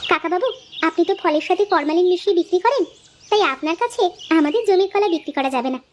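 A woman voicing a blunt, sharp-tongued village wife in a cartoon, with a scolding manner. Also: very high voice, animated, quick pace, slight background noise. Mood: brisk, blunt. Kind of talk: cartoon dialogue acting.

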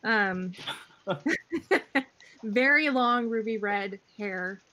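A woman's voice: on the high side, drawn-out words, pushed and bright. A woman on a video call describing her tabletop character in a casual, giggly way. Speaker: player. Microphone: webcam video call.

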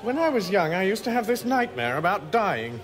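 A man's voice: high voice, very animated, normal speed. An actor playing a bewildered, exasperated English everyman stranded in space, plaintive and incredulous, in a comic science-fiction radio drama. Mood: bewildered, doubtful. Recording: radio play with music behind.

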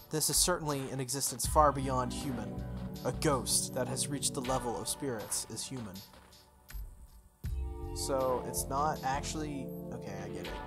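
Clear music plays under a young adult game streamer with a mid-pitched voice. They read visual novel text aloud expressively and slip into casual, playful commentary. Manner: expressive reading with playful asides. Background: background music.

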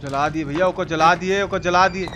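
A man's voice: sits high, steady tone, normal speed. A man with a Bihari Hindi accent doing colloquial, slangy live commentary on a gaming stream, swearing now and then. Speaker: game streamer. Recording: clearly noisy game stream audio.

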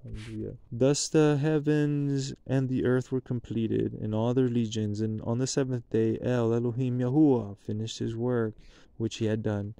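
A man's voice: mid-range voice, steady tone, normal speed, vocal fry, few pauses, no echo. A man leading Sabbath prayers and blessings outdoors at night, recorded on a handheld camera close by. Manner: prayerful, rising into loud chanted blessings. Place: outdoors at night at a picnic table.

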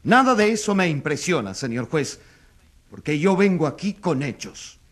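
An actor playing a combative, undaunted newspaper editor making an accusation in a radio drama, with emphatic, oratorical delivery. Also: male voice, on the high side, sing-song, normal speed. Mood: defiant, emphatic.

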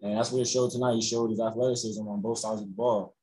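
A young man, a college basketball player, answering reporters on a video call after a game, relaxed and full of "you know" fillers. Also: on the low side, fast talker, slightly rough, rough recording. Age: young adult. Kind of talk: postgame press conference answers. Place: indoors in front of a logo backdrop.

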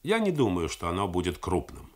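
A man's voice: low-pitched, huge pitch swings, fairly quick. A man reading the part of an earnest intelligence officer delivering reports with keen zeal. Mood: reporting.

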